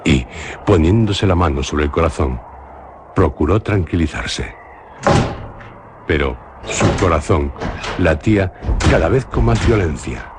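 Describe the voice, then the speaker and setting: A man with a Spanish accent narrating a suspenseful radio drama in a slow, grave, measured way. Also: sits low, expressive, noisy background. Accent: Spain.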